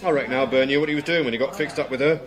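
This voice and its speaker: An actor playing a cheeky, flirtatious working-class drinker, jovial and crude, talking in a colloquial, jokey way in a British radio drama. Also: male voice, medium pitch, steady tone, rapid-fire, natural voice.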